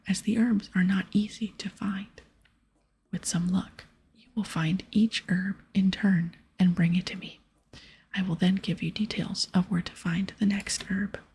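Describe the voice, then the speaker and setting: Woman whispering as a breathy, solemn village shaman. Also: mid-range voice, darkish tone, poor audio. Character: solemn, courteous male village shaman in a fantasy game. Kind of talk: whispered ASMR reading of game dialogue.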